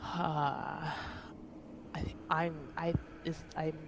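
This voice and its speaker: A tabletop roleplaying player improvising casually in character, halting at times and easily given to giggles. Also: medium pitch, flat delivery, creaky, noisy background. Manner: giggly, breaks into laughter.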